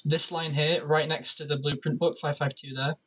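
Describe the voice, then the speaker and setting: A man with a fairly high, bright voice presents a gaming tutorial. He talks casually and explains each step in turn, with a crisp edge to his tone. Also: flat delivery, moderate pace.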